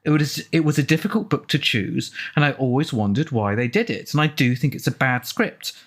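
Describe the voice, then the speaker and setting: A man's voice: mid-range voice, animated, a little fast. A man giving informal film commentary as an author, chatty and discursive in the way he talks. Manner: chatty, discursive, reading out quotes.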